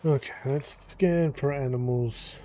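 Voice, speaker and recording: A man gives easygoing, half-muttered commentary while playing a game. His voice is mellow and dark-toned at a middling pitch. A faint background hiss sits under the recording. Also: a little slow. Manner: chatty, talking to himself while playing. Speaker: gaming YouTuber. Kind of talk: casual gameplay commentary.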